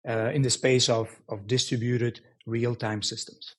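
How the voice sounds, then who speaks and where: A middle-aged man answering interview questions in a halting, explanatory way. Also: mid-range voice, level tone. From Belgium. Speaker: podcast guest, engineering executive.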